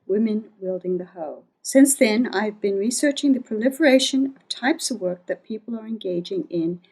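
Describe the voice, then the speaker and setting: A woman with a mellow, softly toned voice reads a prepared first-person narration. She sounds like an academic presenting research in a measured, scripted way. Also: medium pitch, breathy edge, low-quality recording. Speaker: academic researcher, economic geographer.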